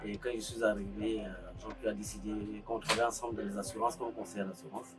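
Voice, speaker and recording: A man's voice: lowish voice, level tone, fast, loud music behind the voice. A man from Côte d'Ivoire, an accountant by training, giving a hesitant interview testimony. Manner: hesitant, frequent filler sounds. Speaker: farm co-founder, accountant by training.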